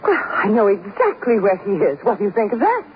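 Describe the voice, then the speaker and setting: An actress playing a prim, defensive wife in a radio drama, fussy, emphatic and insistent. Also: female voice, medium pitch, sing-song, brisk, lightly acted. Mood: indignant. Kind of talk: 1950s radio drama acting. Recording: tinny, faintly noisy 1950s radio recording.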